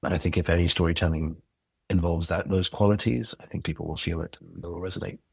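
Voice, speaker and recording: A man's voice: deep voice, fairly even intonation, fast, creaky, husky, dry recording. A man who creates and directs audio drama, speaking as a guest in a casual interview, earnest, reflective and passionate.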